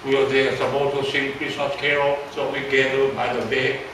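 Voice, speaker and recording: An older man in his seventies speaks with a Taiwanese accent, preaching in a halting, repetitive way and turning to his listeners with questions. His voice sits at a middle pitch and is picked up from a distance in a very echoey church hall, with clear noise underneath. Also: flat delivery. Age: 70s. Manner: halting, repeats words, asks the audience questions. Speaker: pastor. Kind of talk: storytelling sermon.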